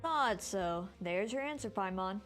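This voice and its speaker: Woman streamer chatting over a game, rambly and informal, average pitch, soft music in back. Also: animated, measured pace. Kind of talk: casual live gameplay commentary. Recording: music behind the voice.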